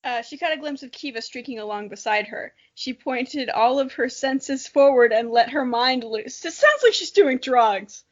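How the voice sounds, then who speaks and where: High-pitched woman, young adult, casual read-aloud with commentary, self-mocking humor, lo-fi muffled sound. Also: expressive, normal speed, hardly any pauses. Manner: playful, self-mocking, jokey. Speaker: podcast co-host. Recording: dull, lo-fi audio.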